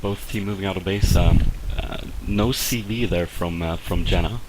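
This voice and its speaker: A man's voice: sits low, moderate pace. A young Swedish man, not a native English speaker, doing casual video game commentary with a Swedish accent, hesitant and full of fillers. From Sweden. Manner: hesitant, lots of fillers and restarts. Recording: clear background noise with clicks.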